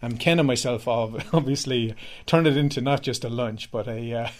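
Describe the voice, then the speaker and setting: An Irish man co-hosting a radio show chats easily and loosely, with plenty of ums and uhs. His voice sits at a middle pitch. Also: normal speed. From Ireland. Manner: relaxed, chatty, many fillers. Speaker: radio co-host. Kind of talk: casual radio chat.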